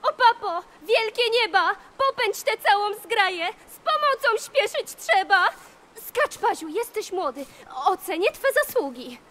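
A woman's voice: extremely high pitch, sing-song, a little slow, pushed and bright, exaggerated voice. A woman playing a young, dramatic, lovestruck princess in a musical fairy-tale audio play. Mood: excited, alarmed.

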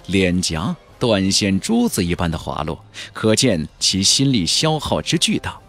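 A man narrating a fantasy audiobook in a theatrical, expressive storytelling manner. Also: fairly low pitch. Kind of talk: dramatic scripted narration of a fantasy novel. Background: background music.

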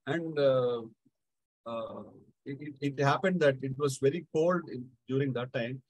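A middle-aged man with a South Indian accent tells a devotional anecdote casually, halting and repeating phrases. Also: medium pitch, no room sound, poor audio. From Andhra Pradesh, India. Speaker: Carnatic musician, guest speaker.

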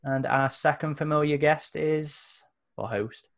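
A man's voice: a little high, a little slow, creaky. A man hosting in a casual, conversational way, introducing things as a podcast host would on a gaming stream.

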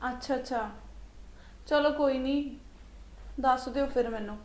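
Woman acting a high, echoey Punjabi elder daughter-in-law. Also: measured pace, a little mellow. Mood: chatty, pleased. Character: proud, bossy elder daughter-in-law, fond of gold. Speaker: actor in a family drama. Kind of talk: colloquial domestic drama acting. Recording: roomy, echoing sound.

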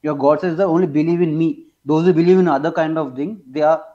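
A twenty-two-year-old man from India with an Indian accent, debating casually, haltingly repeating his phrases for emphasis. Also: a little high, steady tone, dark tone. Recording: dull lo-fi online call audio.

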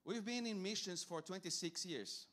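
A middle-aged man whose first language is Portuguese preaches in English from a church stage. He speaks in short measured phrases and pauses for an interpreter. His voice sits a little high for a man. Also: fairly bright tone. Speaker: guest missionary preacher. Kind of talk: sermon with live interpretation. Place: church stage, large hall.

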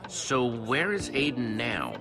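Lively, direct young adventurer. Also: male voice, mid-range voice, slow. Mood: stern. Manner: casual, questioning. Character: plucky, direct young male adventurer hero. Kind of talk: animated game voice acting. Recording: loud music behind the dialogue.

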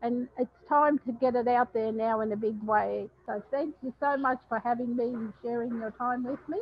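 An older Australian woman, a chef, talking casually about her cooking on a headset mic in a kitchen, with many ums and pauses. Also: on the high side, fairly even intonation, dull audio. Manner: warm, plain-spoken, many ums and pauses. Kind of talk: casual cooking talk to a webinar.

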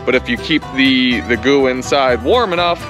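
A man's voice: medium pitch, moderate pace, over music. A man in his thirties, a vlogger, talking casually to the camera he holds at arm's length while working outdoors on a camper roof. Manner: relaxed, practical, talks straight to camera. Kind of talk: casual explaining how-to vlog. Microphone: camera held at arm's length.

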